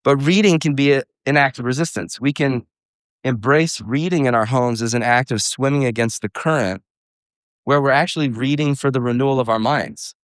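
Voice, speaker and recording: A man talks to a room of parents at a live workshop, earnest and reflective as he builds his point with quotes and small asides. His voice sits in a middle pitch. The sound is dry, with little room echo. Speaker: homeschool workshop speaker.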